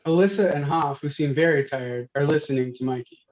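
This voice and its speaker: A man's voice: fairly high pitch, a little fast, darkish tone. Muffled male stage-direction reader. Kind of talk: scripted narration at a table read. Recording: muffled, dull video-stream audio.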